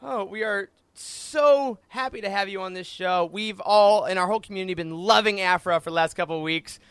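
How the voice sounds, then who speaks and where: A man's voice: high-pitched, a little fast, a bit bright, clean tone, no echo, studio quality. A man hosting a podcast in a casual, upbeat interview style, chatty and effusive. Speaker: podcast host.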